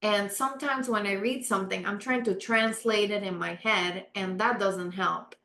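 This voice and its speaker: Woman from panama, middle pitch, earnest storyteller, echoey room. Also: steady tone, normal speed, pushed and bright. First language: Spanish. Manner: earnest, storytelling, emphatic repeats. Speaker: guest, architect. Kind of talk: casual interview chat.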